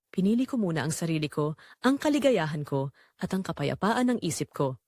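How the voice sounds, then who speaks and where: Young Filipino female narrator for dramatic romance story; emotive, reflective, lively pitch movement, close dry recording. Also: brisk, dry recording. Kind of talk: dramatic scripted first-person romance narration.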